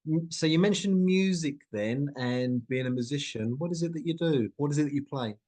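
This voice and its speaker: A man's voice: fairly high pitch, fairly quick, warm tone. A man hosting a short interview, asking friendly questions in an upbeat, encouraging way and often restarting his phrases. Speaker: interview host, company CEO.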